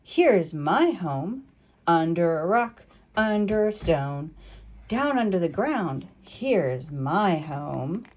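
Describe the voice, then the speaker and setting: A woman voicing a welcoming storybook bunny with a drawn-out, sing-song delivery. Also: on the low side, big pitch swings, a little mellow, heavily acted. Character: welcoming bunny proudly showing its burrow.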